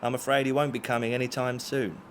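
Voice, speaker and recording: A man's voice: medium pitch, flat delivery, quick pace, lightly acted. Guarded detective, played by a man, noisy backdrop. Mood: guarded, courteous. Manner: polite, guarded, official. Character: discreet, courteous senior Scotland Yard detective and bodyguard. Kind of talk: audio drama dialogue. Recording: clear background vehicle noise.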